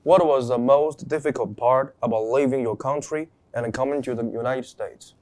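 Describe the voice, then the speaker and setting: A man's voice: medium pitch, normal speed, darkish tone. A teenage boy interviewing a guest for a class project, reading questions and answering with short murmurs. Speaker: student interviewer. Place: school studio.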